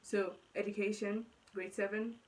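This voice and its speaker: A woman's voice: mid-range voice, fairly even intonation, moderate pace, darkish tone, a little hiss or hum, low-quality recording. A woman in her twenties from Nigeria with an accent that blends Nigerian and South African, casually telling her own story as a vlogger, chatty and often correcting herself mid-thought. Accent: mixed Nigerian and South African. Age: 20s. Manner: chatty, self-correcting, thinks aloud.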